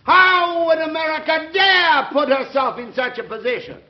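An actor playing an indignant, fearmongering senator, declaiming to colleagues in an old radio drama. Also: male voice, extremely high pitch, normal speed. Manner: oratorical, declamatory. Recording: echoey, dull old radio recording.

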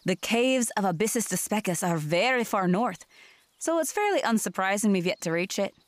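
An actress voicing a dignified selkie elder, formal, earnest and patient, in a fantasy audio drama. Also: female voice, medium pitch, expressive, moderate pace, dry recording, lightly acted.

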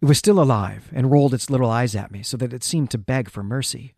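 A man's voice: medium pitch, fast talker, vocal fry, a little mellow, no room sound. Male literary podcast host: mid-range voice, analytical and conversational, recorded dry. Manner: analytical, repeats words as he thinks aloud. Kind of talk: conversational literary recap, partly reading quotes aloud.

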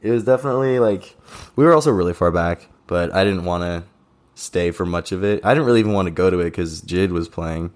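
Deep-voiced young man, laid-back. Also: fast, a little mellow. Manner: laid-back, joking, storytelling. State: strained voice after a festival. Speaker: podcast co-host. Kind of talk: casual chat.

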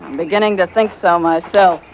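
Actress as a commanding island wife, vintage radio. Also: female voice, lowish voice, a little fast, close to an everyday voice. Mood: composed, weary. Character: cool, commanding, weary young wife on remote island. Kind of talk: 1940s radio acting. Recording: muffled old radio with faint noise.

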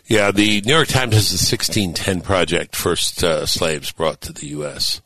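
An older man hosting a talk show, speaking thoughtfully with halting restarts that build to emphatic points. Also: low-pitched, steady tone, sharp tone, a little hiss or hum. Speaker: host and author. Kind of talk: casual radio talk show conversation.

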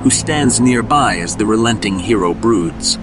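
A man's voice: lowish voice, animated, a little slow, music in the background. A man narrating an audio drama as a scripted storyteller, setting each scene.

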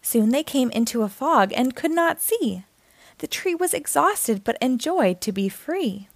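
A woman hosting storytime, reading a children's picture book aloud in an expressive, deliberate storytelling manner. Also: high-pitched, vocal fry, no room sound. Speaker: storytime host and reader.

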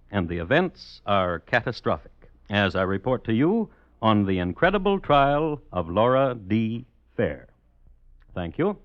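A wry crime historian, expressive pitch. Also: male voice, dry recording. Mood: solemn, formal. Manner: deadpan, precise, formal. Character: dry, wry crime historian narrating old murder cases. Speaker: host and narrator. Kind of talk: 1950s radio scripted narration. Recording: dull, dry old radio recording.

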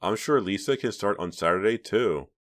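A man's voice: on the low side, lively intonation, moderate pace, fairly bright tone, pitched above the performer's own voice. A man voicing a spoiled, scheming teenage girl who puts on a falsely sweet tone, in an audiobook reading.

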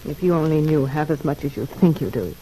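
A woman's voice: low-pitched, brisk, warm tone, a little hiss or hum, natural voice, poor audio. An actress as a young woman convict in a vintage radio serial. She is curt and defensive, a restless schemer who snaps easily. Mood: defensive, calculating. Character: irritable, scheming young woman prisoner, desperate for parole. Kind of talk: old-time radio drama acting.

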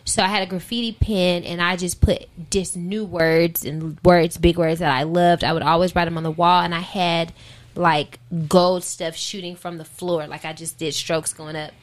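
An American woman with a slightly low voice talks in a casual interview. She is informal and reflective, working out her answers aloud as she goes. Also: fairly even intonation, moderate pace. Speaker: interview guest, singer and rapper.

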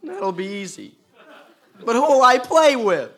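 A woman's voice: fairly high pitch, sing-song, slow pace, a bit bright, heavily acted. A woman playing a forlorn, complaining young son in an old radio comedy. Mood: plaintive. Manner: plaintive, complaining. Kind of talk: 1940s radio comedy acting. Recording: noisy old radio broadcast.

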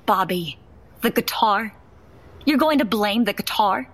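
A woman's voice: medium pitch, expressive, measured pace, a bit bright. An actress playing a fed-up young wife and mother, blunt and confrontational, in a naturalistic audio drama. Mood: hurt, incredulous.

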